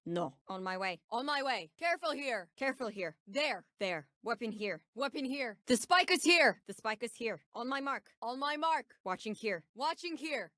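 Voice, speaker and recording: A woman's voice: on the high side, dry recording, natural voice. Casting: actress for menacing female bounty hunter, video game, Turkish accent, confident and ominous, dry studio sound. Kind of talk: video game character voice lines.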